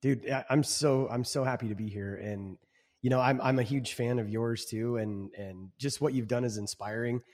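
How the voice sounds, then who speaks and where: A man in his forties talks as a podcast guest, earnest and reflective, restarting his sentences with repeated words. His pitch is ordinary for a man. A long reverb trails behind his voice. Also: steady tone, moderate pace, a little mellow. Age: 40s. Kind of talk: casual interview chat.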